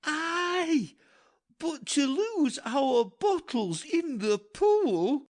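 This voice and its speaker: A man's voice: very high voice, very animated, drawn-out words, darkish tone, exaggerated voice. A man voicing a silly, whining jester with exaggerated singsong clowning in a dramatic Shakespearean audiobook. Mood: whining, indignant. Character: silly, whining, high-voiced male jester.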